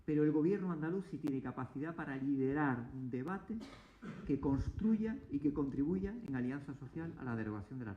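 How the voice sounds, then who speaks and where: A man's voice: fairly high pitch, roomy sound, narrow, tinny audio. A man talking politics as a guest, emphatic, piling up points and restarting words. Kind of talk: argumentative political talk. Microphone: table mic, asked to move it closer.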